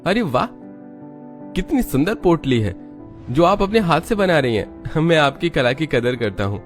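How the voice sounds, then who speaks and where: Guy doing a smitten polite rich young cartoon hero, voice pitched up a bit, eager, music under it. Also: sing-song, moderate pace. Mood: admiring, pleading. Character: smitten polite young man from a wealthy family.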